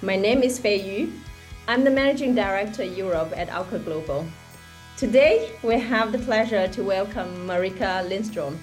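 A middle-aged woman hosting a podcast interview, admiring and quick to laugh. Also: medium pitch, faint music behind. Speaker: podcast host.

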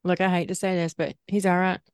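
A woman's voice: lowish voice, fairly even intonation, quick pace, clean tone, dry recording, clean studio sound. Chatty middle-aged woman podcast host. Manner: chatty, laughs often, says y'all. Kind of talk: casual chat.

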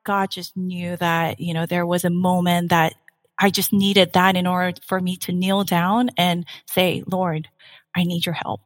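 A woman from Korea, in her thirties or forties, telling her personal story candidly and haltingly as an interview guest. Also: on the low side, level tone, dry recording, studio quality, big-room sound. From South Korea. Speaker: podcast guest.

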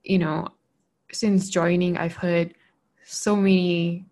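A woman's voice: lowish voice, level tone, slow, a little mellow. A young woman from Malaysia co-hosting a podcast, chatting casually with many "you know" fillers. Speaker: podcast co-host. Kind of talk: casual interview chat.

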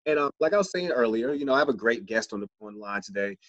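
Fast-talking, upbeat male podcast host. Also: medium pitch, animated, a bit bright, dry recording, lo-fi sound. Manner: chatty, upbeat, loose, with restarts. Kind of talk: casual interview hosting.

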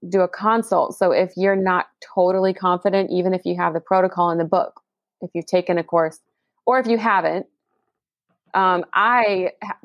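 A woman's voice: fairly low pitch, fairly even intonation, normal speed, no echo, muffled recording. A woman co-hosting a podcast, chatting agreeably and adding her own asides. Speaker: podcast co-host. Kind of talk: casual podcast chat.

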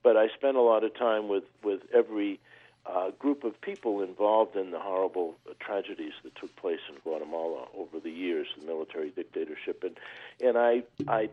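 A man from Maine calls in as a novelist guest, talking in a measured, earnest way and often restarting his sentences. His lowish voice comes through a thin, phone-like line. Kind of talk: interview over the phone.

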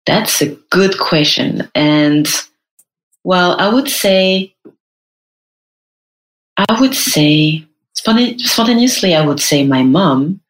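A woman, speaking slowly in a low voice. She talks in a hesitant way, stopping to restart her sentences and filling the gaps with "um". The room around her has a noticeable echo. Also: lively intonation. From France. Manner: hesitant, many fillers and restarts. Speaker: guest, personal brand stylist. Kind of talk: casual interview chat.

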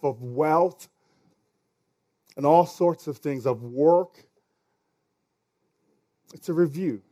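A male pastor with a Nigerian accent delivers a sermon in a conversational way. His voice sits a little high for a man, and he restarts phrases and trips over words as he goes. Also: dark tone. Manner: conversational, stumbles over words, chuckles now and then.

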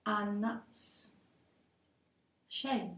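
A woman's voice: mid-range voice, extremely slow, fairly bright tone, reverberant, recorded from a distance. A woman storyteller from Cardiff, answering interview questions thoughtfully and hesitantly, with many restarts. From Wales, Cardiff.

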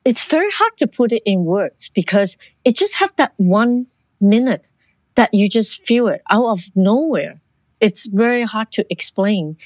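A woman's voice: medium pitch, lively intonation, measured pace, a little mellow, dry recording. A woman from Hong Kong, a guest in an interview who tells her life story in an earnest, reflective way and often restarts her sentences. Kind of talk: personal life story in conversation.

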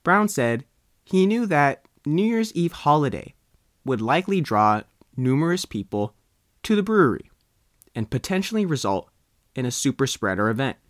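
Lively American man reading scripted news, dry sound. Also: a little high, normal speed, no room sound. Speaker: reporter reading own article.

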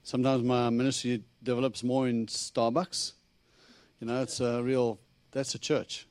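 A man's voice: medium pitch, flat delivery, a little slow, darkish tone, dry recording. A middle-aged man from South Africa with a Texan accent, preaching a chatty, humorous sermon and acting out his talks with God. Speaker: visiting preacher. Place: church.

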